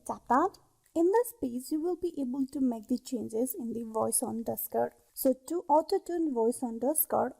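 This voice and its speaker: High-pitched, mellow woman presenting a tutorial. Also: creaky. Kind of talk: scripted tutorial narration.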